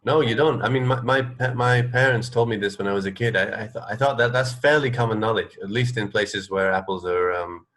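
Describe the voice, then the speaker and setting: A man's voice: fairly low pitch, brisk, few pauses, a bit bright. A man co-hosting a radio show, chatting casually about trivia in a hesitant, mildly wry way. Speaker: radio co-host. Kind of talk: casual chat, sharing trivia.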